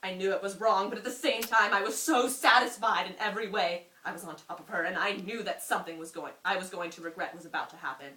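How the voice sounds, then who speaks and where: A young woman reading a story aloud to a friend in an amused, expressive way, often breaking off for asides. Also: mid-range voice, quick pace, hardly any pauses. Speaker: reader. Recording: roomy, distant mic with faint noise.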